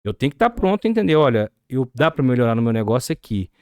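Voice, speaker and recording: Brazilian man with lively, animated pitch. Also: fast, no echo, clean studio sound. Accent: Brazilian Portuguese. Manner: explanatory, asks rhetorical questions. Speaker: podcast guest. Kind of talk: business podcast conversation.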